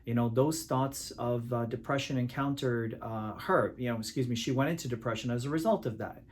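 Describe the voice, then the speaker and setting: Measured immigration attorney from Pakistan. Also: male voice, medium pitch. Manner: measured, deliberate, frequent "uh" fillers. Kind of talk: explanatory legal talk to camera.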